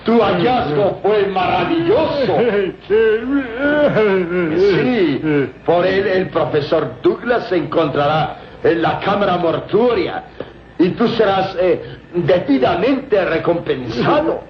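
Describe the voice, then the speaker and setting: Cunning, gloating villain, drawling theatrically. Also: male voice, sits high, very animated, takes it slowly, exaggerated voice. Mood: smug, gloating. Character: cunning, scheming German villain, gloating and treacherous. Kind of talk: old radio serial acting. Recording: muffled, noisy, echoey old radio recording.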